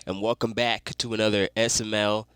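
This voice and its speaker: A man's voice: lowish voice, little pitch movement, brisk, fairly bright tone, clear, resonant tone, no room sound, clean studio sound. A young man hosting a casual commentary video while sick, his voice a little off, talking in slangy, loose speech with fillers and the odd swear. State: sick, voice sounds off. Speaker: YouTube commentary host.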